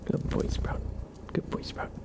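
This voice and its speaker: A man hosting an ASMR livestream, chatting casually in a soft, whispery murmur and gently repeating his phrases. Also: measured pace, noisy background.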